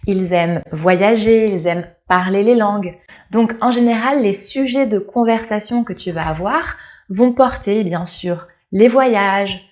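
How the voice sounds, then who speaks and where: A young woman from France teaches everyday French phrases as a language teacher. She speaks clearly and patiently to the viewer. She slows down to repeat each example sentence. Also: medium pitch, a little mellow. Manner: clear, slow repetition of model phrases. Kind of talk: language lesson to camera.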